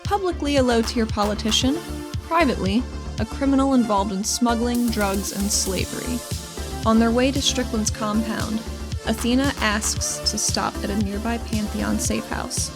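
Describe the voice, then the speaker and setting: Female narrator, slightly high voice, scripted adventure recap over clear background music. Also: normal speed. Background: music behind the voice.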